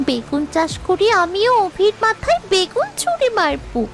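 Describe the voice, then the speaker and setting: A woman's voice: extremely high pitch, very animated, moderate pace, fairly bright tone, heavily acted. A woman voicing an indignant, feisty poor village child in a cartoon, complaining and defiant. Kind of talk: Bengali cartoon voice acting. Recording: music behind the voice.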